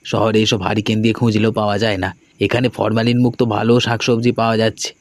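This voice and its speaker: A man's voice: on the low side, flat delivery, quick pace, no room sound, natural voice. A man playing a friendly young businessman in a cartoon, talking in a casual, conversational way. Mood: persuasive. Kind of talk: cartoon dialogue acting. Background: background music.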